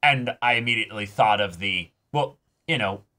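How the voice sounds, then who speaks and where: A man's voice: on the low side, moderate pace, bright, forceful tone. A man co-hosting a reaction show, talking through an episode in an informal, rambling way. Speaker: co-host of an anime reaction show. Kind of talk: casual discussion of an anime episode.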